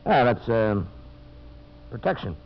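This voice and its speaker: An actor playing a smooth, calculating businessman villain in a 1950s radio drama, with an insinuating manner. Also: mid-range voice, sing-song, slow pace, exaggerated voice. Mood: smooth, businesslike. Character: scheming, smooth businessman villain plotting sabotage. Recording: muffled, hissy old radio recording with hum.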